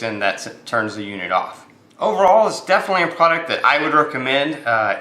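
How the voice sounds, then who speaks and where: A man's voice: medium pitch, bright, forceful tone, reverberant. An American man giving a casual, unscripted product review, explaining things with hesitant phrasing and repeated words.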